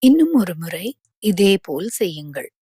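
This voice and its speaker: A woman guiding a yoga nidra relaxation, giving calm, measured instructions with pauses between phrases. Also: fairly low pitch, husky, no echo, studio quality. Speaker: yoga nidra meditation guide.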